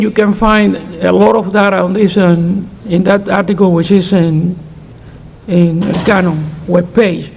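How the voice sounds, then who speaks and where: A man's voice: sits high, gravelly, a little mellow. An older man on a conference panel, answering audience questions in a frank, emphatic way and restarting words as he goes. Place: conference hall. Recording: reverberant hall.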